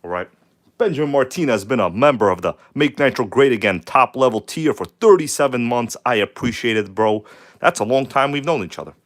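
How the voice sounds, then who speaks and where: A man hosting a casual live stream, his voice hoarse from a cold, hyped and greeting viewers by name. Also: mid-range voice, lively intonation, fairly quick. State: hoarse from a cold, nearly lost voice. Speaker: channel host. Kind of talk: live stream chat about RC cars.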